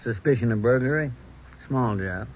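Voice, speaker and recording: Actor as muffled, medium-pitched male police officer. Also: moderate pace, lightly acted. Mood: matter-of-fact. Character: helpful male police officer, informal and unhurried. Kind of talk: old-time radio drama acting. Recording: dull, faintly noisy vintage radio recording.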